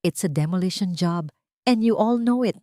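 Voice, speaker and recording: A woman voicing a calm, principled male company boss in a narrated story, stressing each word and mixing English with Tagalog. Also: on the low side, normal speed, dry recording, clean studio sound, close to an everyday voice. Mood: calm, firm.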